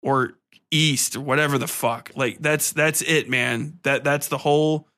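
A man's voice: a little high, fairly even intonation, unhurried, pushed and bright. A man hosting a podcast in a casual, rambling film-review rant, profane, exasperated and mocking.

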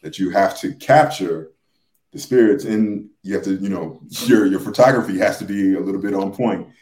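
A man with a deep voice speaks in a casual podcast discussion, in a roomy, echoey space. He explains in a halting, hesitant way and circles back over his phrases. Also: a little mellow.

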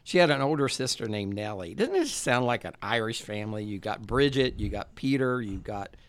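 A man's voice: fairly low pitch, sing-song, fairly quick, no room sound. A man hosting a podcast, telling a true crime story in casual chat, rambling with fillers and laughs.

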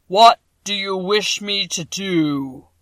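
Woman voicing a booming stern wizard head. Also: no echo, close to an everyday voice. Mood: commanding. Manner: loud, slow and commanding.

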